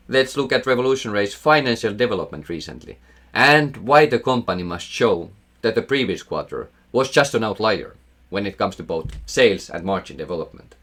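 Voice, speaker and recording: Finnish-accented male host in his thirties, low register, very animated, scripted explainer read with gusto. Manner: enthusiastic, emphatic, self-mocking asides. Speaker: investing channel host.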